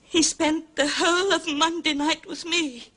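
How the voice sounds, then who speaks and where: An actress playing an anxious, genteel widow in a period drama, halting and pleading as she confides. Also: very high-pitched, fairly even intonation, fairly bright tone. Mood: tearful, confiding. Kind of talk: British television period drama acting.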